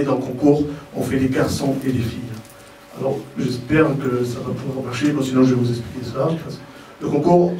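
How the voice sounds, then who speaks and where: A man's voice: medium pitch, darkish tone. Man presenting in an echoey room. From Algerian family origin. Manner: hesitant, repeats words while explaining. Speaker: president of a model contest, speaker at press presentation. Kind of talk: informal spoken presentation to press. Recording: roomy echo, distant mic, faint noise.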